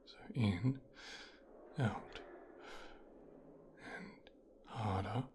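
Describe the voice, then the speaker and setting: A man with a low voice plays a mafia boss's son in an ASMR roleplay. He talks very slowly and softly, slipping often into a whisper, giving teasing commands right at the microphone. A faint noise sits behind him. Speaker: ASMR voice actor performing a roleplay. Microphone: close microphone.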